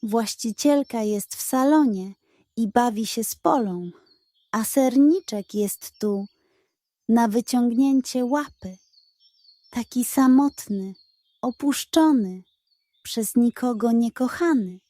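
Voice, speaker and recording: A woman's voice: a little high, slow, warm tone, no room sound. A woman voicing a sly, greedy little male pug in a children's bedtime story, cooing and coaxing with dramatic flair. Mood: wheedling. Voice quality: cooing, wheedling. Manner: dramatic, coaxing delivery with diminutives.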